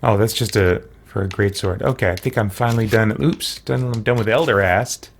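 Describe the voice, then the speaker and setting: A man's voice: fairly low pitch, brisk, slight background noise. A man giving casual video game commentary, thinking aloud and muttering to himself as he plays. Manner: muttering to himself while working through menus. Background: game music, keyboard clicks.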